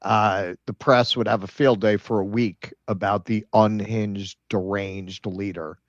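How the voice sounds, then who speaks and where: A man co-hosting a political show, giving casual, sardonic commentary with halting, repeated starts. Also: on the low side, steady tone, dry recording, highs cut off. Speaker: political talk show co-host.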